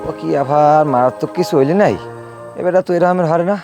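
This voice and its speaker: A man's voice: fairly high pitch, fast, loud music behind the voice, lightly acted. A man playing a familiar, concerned village nephew in a drama, speaking colloquially with a Barisal accent from southern Bangladesh. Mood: protesting, concerned. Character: familiar, concerned rural nephew, respectful to his uncle.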